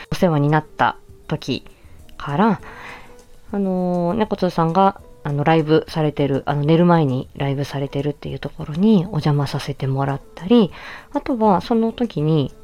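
Low-pitched, softly mellow woman chatting solo. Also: dull audio. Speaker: voice actor presenting own work. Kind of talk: casual solo announcement talk.

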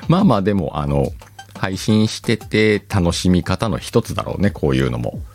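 A man's voice: deep voice, wildly swooping pitch, a little slow, a little mellow, soft background music. A man hosting a podcast in casual solo talk, chatty and teasing, often chuckling to himself. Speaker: podcast host.

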